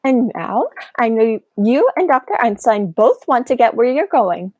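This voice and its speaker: Genteel, fluttering old lady, acted by a young woman: amateur radio play, very animated pitch, dry recording, gently insistent. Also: unhurried, dry recording. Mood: gently firm. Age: young adult.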